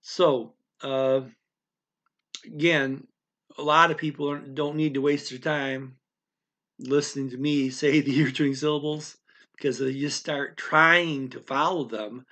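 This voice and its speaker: A man's voice: mid-range voice, steady tone, unhurried, sharp tone. A man giving a casual, rambling language lesson, speaking straight to the learner and checking in with frequent okays. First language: English. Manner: rambling, restarts often, speaks directly to the learner with frequent "okay?". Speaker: language teacher.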